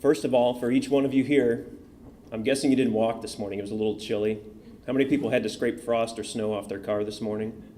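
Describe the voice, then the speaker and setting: Mellow-voiced male event host. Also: medium pitch, fairly even intonation, quick pace, slight background noise. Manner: upbeat, addresses crowd directly. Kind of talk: announcements to audience. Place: event hall.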